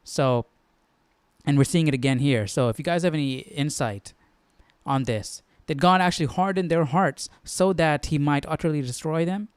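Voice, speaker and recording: Higher-voiced man musing casually, close dry sound. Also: normal speed, smooth, no echo. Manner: musing, often checking with "right?". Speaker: Bible study live stream host. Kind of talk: casual live Bible study commentary.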